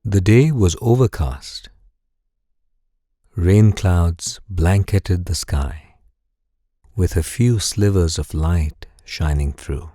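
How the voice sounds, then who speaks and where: Deep, slow, husky man telling sleep stories. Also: no room sound, clean studio sound. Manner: gentle, calm, unhurried. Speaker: guided sleep meditation narrator. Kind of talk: soothing scripted bedtime story narration. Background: music.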